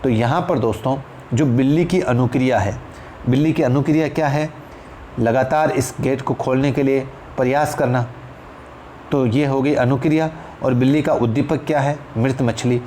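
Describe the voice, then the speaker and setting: A man's voice: medium pitch, normal speed, warm tone. A man with an Indian accent teaching in an explanatory lecture style, repeating phrases for emphasis and addressing listeners as friends. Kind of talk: exam-prep lecture, explanatory. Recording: clear background noise.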